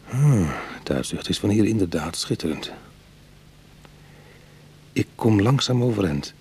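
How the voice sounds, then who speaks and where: An actor playing a proud, wry retired burglar in middle age who is easily goaded, in a Dutch radio play. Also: male voice, on the low side, animated, unhurried, a little mellow, a little hiss or hum. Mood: brooding, wry. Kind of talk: Dutch radio drama acting.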